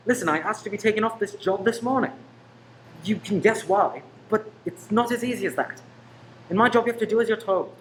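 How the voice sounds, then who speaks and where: A man's voice: sits high, fairly quick, roomy sound, close to an everyday voice, low-quality recording. Male actor as earnest undercover detective, pleading and torn, radio drama, faint background noise. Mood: earnest, conflicted. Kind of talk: amateur radio play acting.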